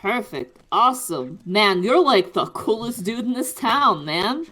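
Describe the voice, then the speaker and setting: A woman's voice: mid-range voice, sing-song, measured pace, bright, forceful tone, exaggerated voice. A woman playing a friendly druid adventurer who talks like a slangy, chummy bro in a tabletop game. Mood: laid-back, friendly. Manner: slangy, chummy, casual swearing.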